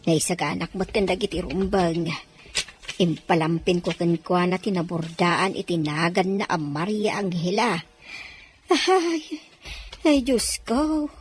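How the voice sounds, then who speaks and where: A woman's voice: mid-range voice, slightly rough. A woman acting as a timid, submissive nanny in a drama, hesitant and fearful of her mistress. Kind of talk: Ilocano radio-style drama acting.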